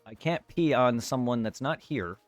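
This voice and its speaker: A man of middling pitch talks off the cuff to viewers on a livestream. He is blunt and repeats himself, with some swearing. The sound is dry, with faint music behind him. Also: lively intonation, normal speed, dry recording. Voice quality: normally very quiet voice. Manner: profane, blunt, repetitive. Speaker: live streamer. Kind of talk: unscripted livestream talk to chat.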